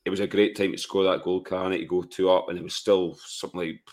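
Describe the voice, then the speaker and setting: A Scottish man with a very deep voice, gone hoarse from shouting, gives his blunt take on a football match. He speaks casually and with opinion, and he asks rhetorical questions before he answers them himself. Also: little pitch movement, quick pace. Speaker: football podcast co-host.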